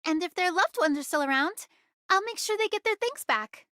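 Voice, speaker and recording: Very high, feisty anime girl smuggler. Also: animated, normal speed, pushed and bright, dry recording, studio quality, full character voice. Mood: earnest, wistful. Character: feisty, girlish young female treasure-keeping smuggler, proud but caring. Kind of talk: anime video game voice acting.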